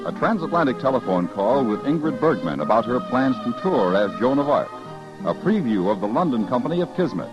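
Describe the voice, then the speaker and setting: Animated American vintage radio announcer, man. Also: medium pitch, brisk, music in the background. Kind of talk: 1950s scripted radio announcing. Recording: dull lo-fi vintage radio broadcast.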